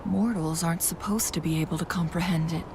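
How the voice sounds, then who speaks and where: An actress playing a thoughtful young woman companion in a game, speaking in a measured, reflective way and often musing softly. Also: lowish voice, steady tone, background noise, natural voice. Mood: pondering. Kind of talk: scripted game dialogue. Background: game music.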